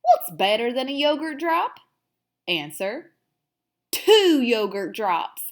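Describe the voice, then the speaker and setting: A woman acts out an excitable, cocky male hamster narrating his storybook diary. The voice sits fairly high and moves expressively up and down. The delivery is unhurried and theatrical, savouring every self-satisfied remark. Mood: pleased. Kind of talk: first-person children's storybook read-aloud.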